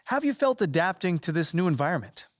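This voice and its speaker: A man's voice: high-pitched, sing-song, quick pace, dry recording, clean studio sound. A man playing a mild, anxious sports reporter with an American accent, talking in a video game cutscene. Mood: professional, interested. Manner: nervous, mild, accommodating. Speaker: sports interviewer.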